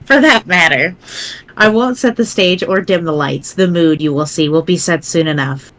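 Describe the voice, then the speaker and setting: A grave teenage girl narrates the end of the world, as put on by an amateur reader. The diction is formal, verbose and deliberate, with a dry wit. It is a webcomic dramatic reading in a mid-pitched voice. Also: fairly bright tone, natural voice. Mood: solemn, wry. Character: wry, grave teenage girl writing a doomsday game walkthrough.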